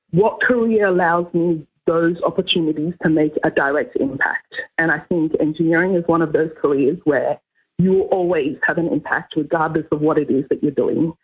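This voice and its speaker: Husky woman from Ghana, muffled radio sound. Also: on the low side. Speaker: radio interview guest, engineer. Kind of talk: conversational interview answers. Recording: muffled radio interview audio.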